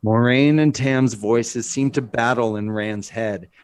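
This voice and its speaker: A man's voice: medium pitch, fairly even intonation, slow, darkish tone, clear, resonant tone. A man narrating stage directions as scripted narration in a screenplay table read.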